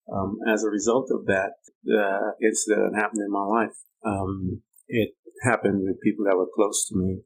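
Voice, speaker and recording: A middle-aged man from Guyana, hosting a personal-development podcast and speaking slowly and haltingly with many pauses. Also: low-pitched, a little mellow, rough recording. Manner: slow and halting, many ums and uhs. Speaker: podcast host. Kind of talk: reflective personal-development monologue. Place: United States.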